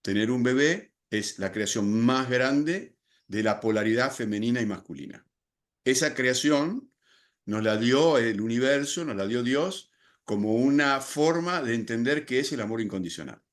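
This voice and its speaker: A man's voice: mid-range voice, lively intonation, moderate pace. An older man from Argentina with an Argentine accent, speaking as a podcast guest. He explains his ideas in a reflective way, using fillers and restarting his sentences. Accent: Argentine Spanish. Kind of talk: podcast interview.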